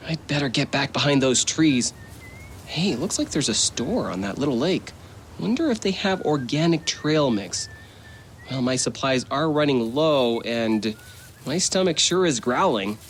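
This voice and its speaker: A man's voice: mid-range voice, lively intonation, unhurried, slight background noise, pitched above the performer's own voice, close to an everyday voice. A man playing a nerdy, anxious, overly dramatic young man who stammers and frets in a children's audio drama. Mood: jittery, muttering. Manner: stammering, nervous, exclaiming.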